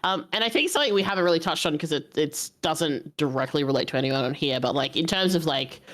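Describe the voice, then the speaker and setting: Low-voiced woman, halting casual talk. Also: lively intonation. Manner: halting, many fillers and restarts. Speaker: panel guest. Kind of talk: casual panel chat.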